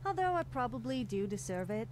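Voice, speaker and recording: A woman's voice: a little high, very animated, brisk, a little hiss or hum. A woman playing a cheeky, needy, self-deprecating young adventurer companion in a video game, flippant and confessional. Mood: cheeky, wry. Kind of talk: scripted video game character dialogue. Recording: game audio with music behind.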